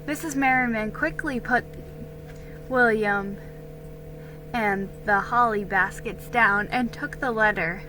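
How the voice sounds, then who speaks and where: A woman giving an amateur dramatic storybook reading, deliberate and a little halting, with stumbles. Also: on the high side, background noise.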